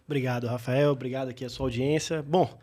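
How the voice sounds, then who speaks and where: A man, a guest entrepreneur telling his story thoughtfully in an interview, pausing and restarting as he thinks. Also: mid-range voice, fairly even intonation, a little mellow. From Bahia, Brazil. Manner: reflective, with frequent hesitations and restarts.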